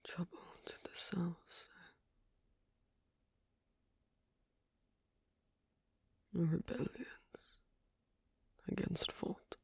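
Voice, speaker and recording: A performer playing a shy, bookish, isolated swan king in intimate ASMR roleplay, speaking halting English with a foreign accent in a creaky half-whisper. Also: medium pitch, dry recording, exaggerated voice. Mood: cautious curiosity. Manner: halting, hesitant, clipped non-native phrasing.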